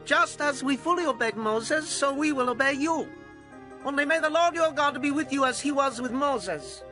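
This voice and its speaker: A man's voice: very high voice, normal speed, bright, forceful tone, faint music behind. An actor playing a loyal, fervent Israelite tribesman in a dramatized scripture reading, emphatic and declamatory. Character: loyal Israelite tribesman pledging fierce obedience.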